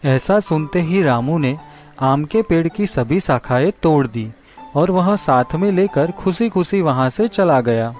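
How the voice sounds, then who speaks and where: A man narrating a scripted children's story in a simple, measured way. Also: on the high side, expressive, darkish tone, soft background music.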